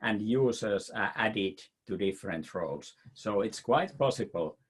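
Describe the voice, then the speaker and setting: Slow, hesitant Finnish-accented man. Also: on the low side, expressive, husky. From Finland. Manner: hesitant, many fillers and restarts. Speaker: presenter answering questions. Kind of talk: webinar Q&A answer.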